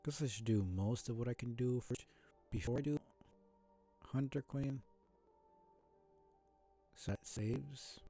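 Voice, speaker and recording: A man's voice: mid-range voice, takes it slowly, pauses often, warm tone, soft background music. A man casually commentating a live video game stream, rambling along in a mumbly, mildly annoyed way.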